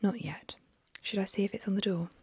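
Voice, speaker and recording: A woman's voice: medium pitch, brisk, a little mellow, slightly rough, dry recording. A woman whispering the lines of a shy young woman at summer camp.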